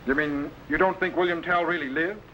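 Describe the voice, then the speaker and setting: Male space-time explorer: calm authority, crisp measured orders, bright tone, faint hiss of an old serial. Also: on the high side, low-quality recording. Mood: calm, instructive. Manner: instructive, precise, measured commands. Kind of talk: 1950s science fiction serial acting.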